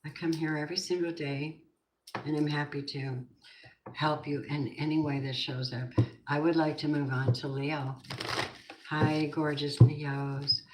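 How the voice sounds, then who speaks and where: An older woman doing a live tarot reading, chatty and rambling, warmly calling her viewers babies. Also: deep voice, little pitch movement, a little slow. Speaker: tarot reader. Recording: noisy home stream with clicks.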